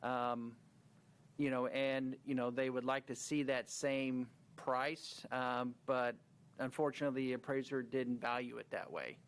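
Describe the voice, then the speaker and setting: A man's voice: mid-range voice, level tone, measured pace, smooth. Midwestern guy from the county explaining stuff at a meeting, lots of ums. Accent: American Midwestern. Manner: explanatory, with many fillers and restarts. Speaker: county staff presenting a project. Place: county commission meeting room.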